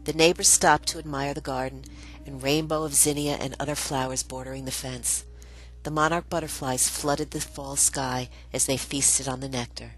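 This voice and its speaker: A woman reading a first-person story as scripted narration, with deliberate, dramatic phrasing. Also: extremely low pitch, poor audio. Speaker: storyteller narrating own memoir.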